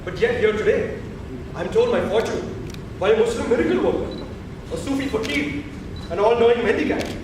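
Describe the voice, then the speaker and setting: A man's voice: very high voice, lively intonation, a little fast, long pauses. An actor playing an imperious, suspicious emperor and stern father in a formal, commanding stage drama. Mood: grandly musing. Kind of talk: theatrical stage acting. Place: theatre stage, recorded from far off. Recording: echoey stage, distant mic, noisy.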